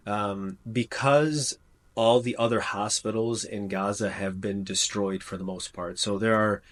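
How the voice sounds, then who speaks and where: Man, american, low voice, casual interview talk, fillers and restarts. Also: steady tone, unhurried. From United States. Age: middle-aged. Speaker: orthopedic trauma surgeon, guest. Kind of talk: interview answers, conversational.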